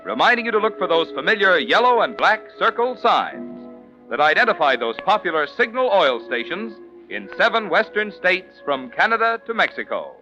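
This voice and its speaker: A male 1940s radio announcer with a fairly high, lively, bright voice. He reads scripted copy in a formal, emphatic way, on a muffled vintage recording with faint music. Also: moderate pace.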